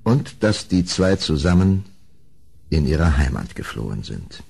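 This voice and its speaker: Deep-voiced, dramatic male storyteller. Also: very animated, a little slow, vocal fry, darkish tone, husky. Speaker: narrator. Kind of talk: dramatic scripted storytelling for a radio play. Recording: old audio drama recording, background noise.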